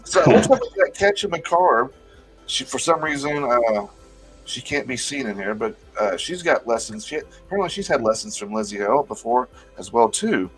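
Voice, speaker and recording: A man in his forties hosting a casual music chat show, animated and swearing freely, often repeating words as he talks. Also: mid-range voice, normal speed, rough recording. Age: 40s. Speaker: show host.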